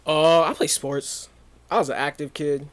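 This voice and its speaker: A man in his twenties from Maine, a rapper chatting as a podcast guest in a relaxed, easygoing way. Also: fairly high pitch. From Maine, USA. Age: 20s. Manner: relaxed, easygoing, quick affirmations. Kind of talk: casual interview chat.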